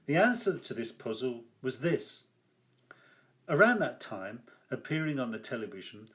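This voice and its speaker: An older man reading his own first-person story aloud like an author at a reading, theatrical and wry, with deliberate emphasis. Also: medium pitch, a bit bright.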